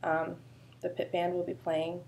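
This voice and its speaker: Mellow-voiced woman teaching choreography. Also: fairly low pitch, completely flat delivery, measured pace, gravelly. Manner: chatty, loose, many fillers. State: sore throat. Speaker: dance choreographer. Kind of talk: casual dance instruction video.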